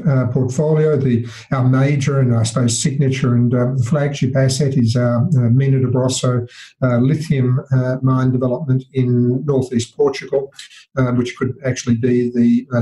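An older man with an Australian accent, a mining company chief executive answering interview questions in a measured way with many hesitations and fillers. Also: mid-range voice, warm tone, rough recording.

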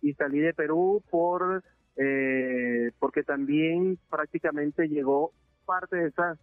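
A man from Venezuela, giving a halting, earnest testimony in a phone interview, full of filler sounds and restarts. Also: fairly high pitch, no echo, faint music behind. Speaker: interviewee, deported migrant. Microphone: phone line. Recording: narrowband phone line, dry.

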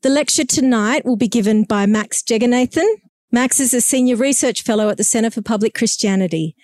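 Female event host, fairly high voice, dry close mic, brisk and friendly. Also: darkish tone, no echo. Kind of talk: introduction and interview.

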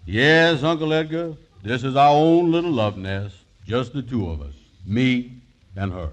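A man's voice: mid-range voice, a little slow, natural voice. An actor playing a bluffing, dim-witted man in a 1940s radio comedy, stammering hesitantly in folksy, ungrammatical speech. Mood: evasive. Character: bluffing, flustered, dim-witted man hosting his uncle. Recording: vintage 1940s live radio broadcast.

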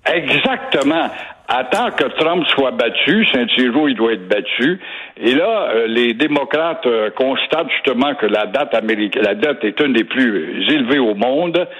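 A man's voice: medium pitch, animated, normal speed, a bit bright, husky. A man with a Québécois accent giving opinionated, sarcastic commentary as a regular radio guest. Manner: sarcastic, mocking repetition.

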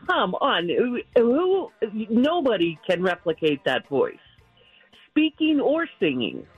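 A man calling in to a talk radio show, talking slowly and amused. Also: sits high, huge pitch swings, lo-fi sound, faint music behind. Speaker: caller. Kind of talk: call-in chat.

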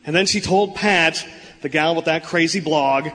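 An actor playing a folksy, eager, apologetic older pal in a live radio play. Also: male voice, a little high, normal speed, sharp tone. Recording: echoey hall.

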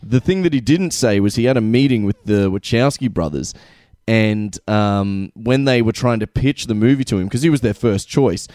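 A man guesting on a movie podcast, excitable and talkative, telling stories at length. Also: on the low side, moderate pace, few pauses, dry recording. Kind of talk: casual podcast chat.

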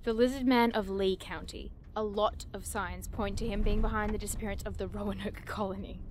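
A woman playing an eager, stubborn cryptid hunter in an audio drama, excitable and insistent. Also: mid-range voice, brisk, slight background noise, natural voice.

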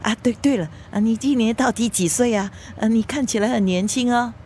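Woman playing middle-aged mother, Singaporean accent, nosy, exclamatory, fairly high pitch, radio drama, clear background ambience. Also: a little fast, a little mellow, slight background noise, close to an everyday voice. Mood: eager, welcoming. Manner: probing, exclamatory. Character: nosy, excitable middle-aged mother, suspicious then welcoming.

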